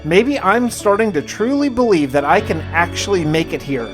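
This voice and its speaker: A man's voice: high-pitched, expressive, moderate pace, music in the background. A man narrating his survival game in the first person as roleplay, talking in a casual, confiding way.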